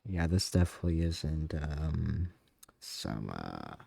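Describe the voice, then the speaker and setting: A man's voice: a bass voice, very animated, takes it slowly, gravelly, mellow. A man hosting a reaction video, reading captions aloud and adding casual commentary.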